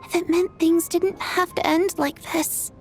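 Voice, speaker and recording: Gentle game ghost girl, high and soft, resigned, faint music. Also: measured pace. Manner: soft, calm, polite. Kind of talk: video game voice acting.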